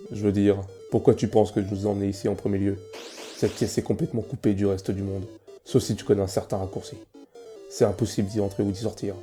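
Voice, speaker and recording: A man voices a weary monster schemer for a comic dub. The voice is low and extremely mellow, flat in pitch yet quick, with a cold, deadpan menace. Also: lightly acted. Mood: cold, threatening. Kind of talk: fan comic dub acting.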